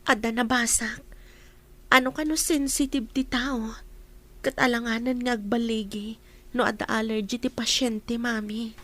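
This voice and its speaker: A woman's voice: fairly high pitch, fairly even intonation, a little slow, fairly bright tone. A young woman acting an insecure daughter in an Ilocano radio comedy drama. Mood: hesitant, worried. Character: insecure young daughter anxious to fix her looks.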